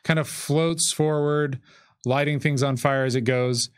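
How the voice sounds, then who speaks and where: A man's voice: medium pitch, fairly even intonation, unhurried, clean tone. A man acting as game master, casually narrating a tabletop game in a conversational, descriptive way. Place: indoors at a gaming table.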